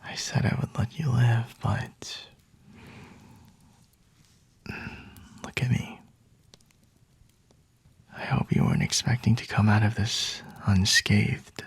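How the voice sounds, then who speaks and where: A man acts out a possessive, seductive male vampire for an ASMR roleplay. He speaks in a slow, close whisper that is heavily breathy, with some gravelly creak. The manner is teasing and predatory. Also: fairly low pitch, fairly even intonation, heavily acted. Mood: menacing, teasing.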